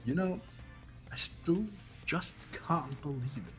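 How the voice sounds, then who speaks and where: A man's voice: a little high, animated, takes it slowly, soft background music. A man playing an arrogant, cold young heir in a fan-voiced game, speaking in a formal, condescending way. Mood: contemptuous. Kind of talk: amateur voiced video game playthrough.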